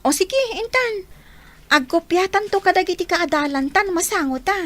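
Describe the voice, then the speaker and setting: Woman as a very high-pitched whiny schoolgirl. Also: expressive, moderate pace, a bit bright. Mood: bored, complaining. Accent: Filipino. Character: lazy young daughter, whiny schoolgirl student. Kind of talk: Filipino radio drama acting.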